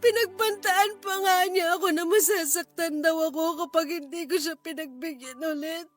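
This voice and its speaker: Distraught young woman, Filipino accent, extremely high voice, tearful and self-blaming radio drama confession over soft music. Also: moderate pace. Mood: tearful, ashamed. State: crying. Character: distraught, ashamed young woman confessing abuse to her cousin. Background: background music.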